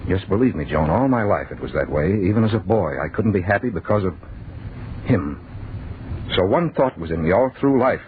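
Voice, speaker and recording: A man's voice: sits low, expressive, a little fast, phone-quality sound. An actor playing an anguished, fearful man earnestly confessing to his sweetheart in vintage radio drama style. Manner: earnest, pleading, agitated. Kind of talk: 1940s radio drama acting. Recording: noisy, thin old radio recording.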